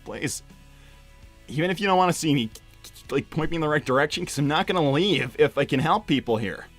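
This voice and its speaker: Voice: medium pitch, animated, fairly quick, faint music behind, lightly acted. Someone voicing an earnest, stammering young hedgehog hero. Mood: stubborn, earnest. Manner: hesitant, stammering, insistent. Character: earnest, pleading young male hedgehog hero, informal and stubborn. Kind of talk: improvised live character roleplay.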